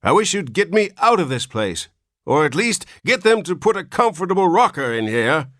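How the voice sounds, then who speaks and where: A man's voice: high voice, sing-song, normal speed, fairly bright tone, no room sound, clean studio sound, voice pushed up. A man voicing a querulous ancient old man who has just been born, with a cracked, whining voice. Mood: querulous whine.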